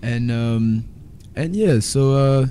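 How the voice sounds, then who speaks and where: A man in his twenties from Guadeloupe with a French Caribbean accent, co-hosting a university podcast in relaxed, hesitant casual chat. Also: medium pitch, takes it slowly, slight background noise. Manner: relaxed, hesitant, many fillers. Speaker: podcast co-host.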